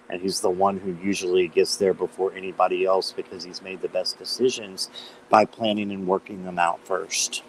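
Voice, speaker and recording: Male tarot host, lowish voice, slightly hoarse, chatty and casual with fillers. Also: a little hiss or hum, poor audio. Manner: chatty, greets viewers by name, many fillers. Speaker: tarot reader hosting a live stream. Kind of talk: casual live-stream chat.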